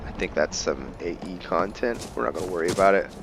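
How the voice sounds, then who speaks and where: A man's voice: deep voice, lively intonation, quick pace, gravelly, background noise. A man doing casual let's play commentary, thinking aloud to his viewers and slipping into roleplay. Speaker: gaming video host.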